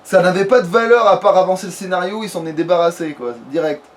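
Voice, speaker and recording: A man's voice: high-pitched, fast talker. A man giving casual let's play commentary, talking crudely and swearing heavily. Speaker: let's player. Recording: faint background noise.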